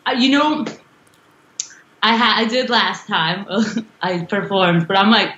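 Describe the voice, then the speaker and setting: A woman's voice: medium pitch, measured pace, fairly bright tone, echoey room, dull audio, a little hiss or hum. A woman guest on a podcast interview, chatting casually in a rambling, giggly way with a strong voice. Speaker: musician guest.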